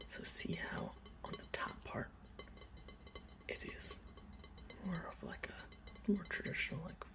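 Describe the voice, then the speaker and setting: A man softly whispering in a casual, rambling ASMR style, breathy and close to the microphone, showing off a hobby. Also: high voice. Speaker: ASMR video host. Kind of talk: ASMR, informal hobby show-and-tell.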